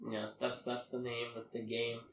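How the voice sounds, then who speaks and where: A man's voice: mid-range voice, completely flat delivery, normal speed, far from the mic, very noisy. A young adult man co-hosting a podcast in casual, rambling, slangy and jokey chat. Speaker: podcast co-host. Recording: echoey room.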